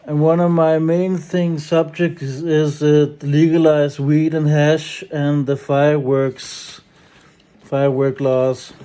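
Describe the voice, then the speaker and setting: A man with a Danish accent giving a rambling, casual monologue, hesitant and halting, with blunt swearing. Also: fairly high pitch, mellow. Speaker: solo activist and vlogger.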